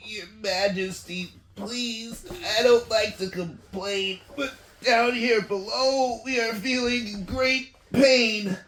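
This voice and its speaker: A man's voice: high-pitched, steady tone, takes it slowly, few pauses, a bit bright, pitched above the performer's own voice. A man voicing a meek, plain little storybook turtle, plaintive and groaning as he pleads politely. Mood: pained, pleading. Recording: echoey room, faint noise.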